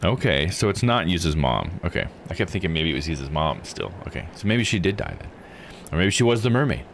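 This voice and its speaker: A man's voice: sits low, sing-song, fairly quick, slight background noise. A man giving live video game commentary, musing and thinking aloud as he plays. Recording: music under the voice. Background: game music.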